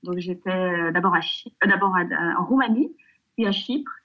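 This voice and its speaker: A woman in her forties giving conversational interview answers over an internet call, pausing often mid-phrase. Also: lowish voice, lo-fi sound. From France, raised in Nigeria. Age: 40s. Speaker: interview guest, family business executive. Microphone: video call.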